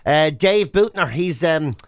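Higher-voiced Irish man, teasing radio banter. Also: lively intonation, measured pace, no room sound, clean studio sound. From Ireland. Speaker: radio show co-host. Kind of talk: casual bantering radio chat.

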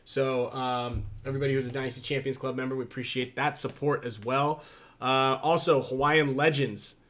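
A man's voice: medium pitch, fairly even intonation, moderate pace, a bit bright. A man around forty hosting a casual talk show, making announcements in a slangy, laid-back way and often repeating phrases. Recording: noticeable background noise.

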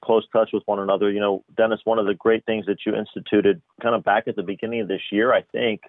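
A man's voice: fairly low pitch, level tone, quick pace, no echo. A man, a radio station manager, speaking earnestly on a phone line during a casual radio chat. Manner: earnest, praising. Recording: tinny dry phone line.

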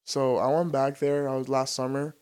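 A man's voice: mid-range voice, little pitch movement, moderate pace, darkish tone, clear, resonant tone. Chatty young man from Albania. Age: young adult. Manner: loose and chatty, says "bro" and "like" a lot. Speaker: podcast guest. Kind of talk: casual chat.

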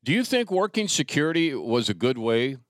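A man's voice: mid-range voice, very animated, brisk, dry recording, studio quality. A man with an American accent, interviewing casually as a podcast host in a conversational style.

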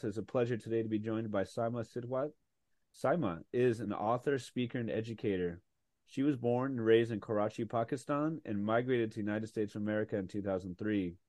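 A man's voice: on the low side, a little mellow, no echo. A man hosting a podcast, reading a guest introduction and then chatting eagerly with many fillers. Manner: chatty, enthusiastic, with frequent fillers. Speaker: podcast host. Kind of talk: casual interview chat.

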